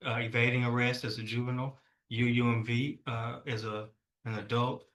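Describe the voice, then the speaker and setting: A man speaking as a prosecutor in court, formal and somewhat halting as he addresses the room. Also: mid-range voice, level tone, a bit bright. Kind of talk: courtroom questioning and argument.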